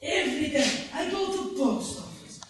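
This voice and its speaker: A woman's voice: high voice, sing-song, normal speed. A woman playing a dramatic character who speaks broken English and insists she is Russian at heart. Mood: grumbling. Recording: echoey room, distant mic, faint hiss.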